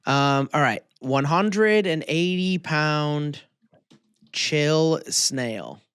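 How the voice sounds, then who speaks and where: A man with a slightly high, very lively voice chats as a podcast co-host. He is jokey and slangy, talking like a bro among friends. The sound is close and dry. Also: very slow, clean tone, no echo. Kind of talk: casual comedic banter.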